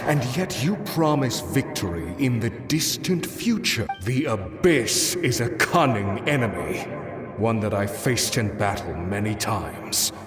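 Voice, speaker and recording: A proud, battle-hardened warrior from a fantasy game. His low voice moves very slowly and solemnly, with stern formality and a vengeful edge. Long reverb surrounds every phrase. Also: animated. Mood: grim, bitter. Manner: solemn, formal, measured speech. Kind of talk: dramatic video game voice acting. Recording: echoey reverb under background music.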